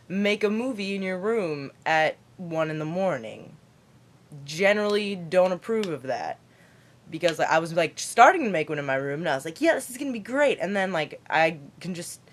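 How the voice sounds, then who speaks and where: A teenage girl from Kentucky with a deep voice for a girl, rambling chattily in a late-night vlog from her basement. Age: teens. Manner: chatty, rambling, lots of fillers and put-on voices. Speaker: vlogger.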